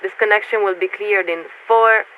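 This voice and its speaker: An actress playing a formal, detached warship officer who speaks for a committee in clipped, measured phrases through a radio filter in a video game. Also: female voice, medium pitch, fairly even intonation. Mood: solemn, official. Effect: radio transmission filter. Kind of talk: scripted video game voice acting. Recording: tinny, narrow-band radio with static.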